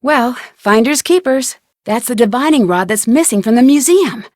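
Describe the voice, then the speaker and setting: A woman's voice: on the high side, animated, normal speed, a little mellow, dry recording, studio quality, lightly acted. A woman voicing a plucky, curious young female detective in a video game, speaking earnestly and conversationally as if thinking aloud. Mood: curious, puzzled. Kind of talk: scripted video game voice acting.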